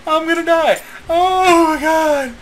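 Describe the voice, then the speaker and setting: A woman in casual chat, giggly and excitable, throwing in teasing remarks over an amateur voice chat. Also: very high voice, slow, noisy background.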